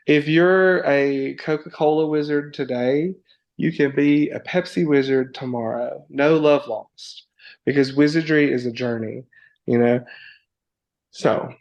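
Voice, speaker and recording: A young adult podcast guest in casual conversation, playful and bubbly, with lots of likes and you-knows. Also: male voice, mid-range voice, vocal fry, darkish tone.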